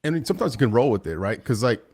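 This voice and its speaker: A man's voice: medium pitch, lively intonation, quick pace, darkish tone, husky. A man co-hosting a sports podcast, chiming in with casual conversational remarks. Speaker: podcast co-host.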